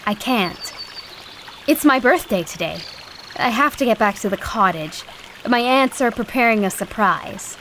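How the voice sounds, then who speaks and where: High, lilting innocent young girl. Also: expressive, unhurried, fairly bright tone, a little hiss or hum. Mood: cheerful. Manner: sweet, giggly. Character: innocent, cheerful young girl, secretly a princess. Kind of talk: fairytale audio drama acting.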